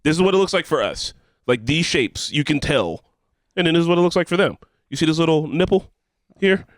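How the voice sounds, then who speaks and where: A man's voice: on the high side, animated, a little fast, no echo, clean studio sound. A man co-hosting a podcast, explaining things emphatically in a casual, sweary group chat. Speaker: podcast co-host.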